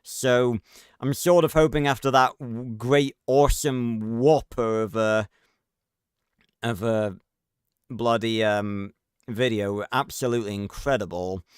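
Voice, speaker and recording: A man's voice: mid-range voice, steady tone, slow, smooth, dry recording, clean studio sound. A man giving casual, rambling livestream reaction commentary with frequent swearing. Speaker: reaction streamer.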